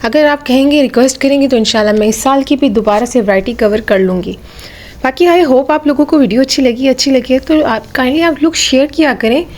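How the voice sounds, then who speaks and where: A Pakistani woman speaks in a fairly high voice that is slightly off from a sore throat. She chats casually as a vlogger, slipping English phrases into her talk. She often repeats a phrase to drive it home. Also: a little fast, a little mellow. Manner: chatty, repeats phrases for emphasis. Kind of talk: casual vlog commentary with English phrases mixed in.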